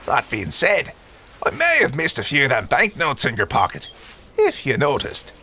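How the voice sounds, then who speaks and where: A man's voice: mid-range voice, huge pitch swings, normal speed, bright, forceful tone, a little hiss or hum. An actor playing a cheeky, matey mercenary henchman in an adventure audio drama. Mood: chummy, unapologetic. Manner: colloquial, matey. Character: cheeky mercenary henchman, chummy but self-serving. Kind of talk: 1930s-set adventure audio drama acting.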